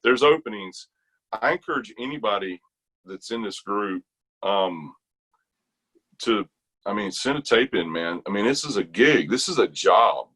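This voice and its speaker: A middle-aged American man telling stories casually in conversation, animated and colloquial. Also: on the low side, measured pace, pauses often, husky, low-quality recording. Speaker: interview guest, retired army chorus bass singer.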